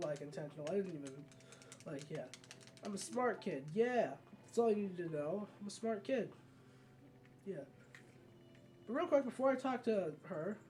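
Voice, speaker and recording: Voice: mid-range voice, darkish tone, a little hiss or hum. A gamer hosting a casual let's play, rambling along and often correcting themselves mid-sentence. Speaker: gaming video host. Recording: game music behind the voice. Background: video game music.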